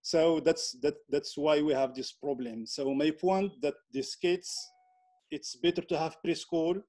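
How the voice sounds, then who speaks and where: A man from Morocco, a native Berber speaker with a Moroccan Berber accent, explains things informally in halting, repetitive non-native phrasing. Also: a little high, darkish tone. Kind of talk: informal explanatory interview talk.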